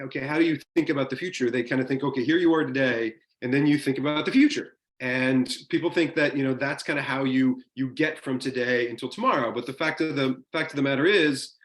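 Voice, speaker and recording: A man giving an informal seminar talk over a video call, lively and enthusiastic, often restarting his sentences. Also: mid-range voice, reverberant. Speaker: guest speaker in a university seminar.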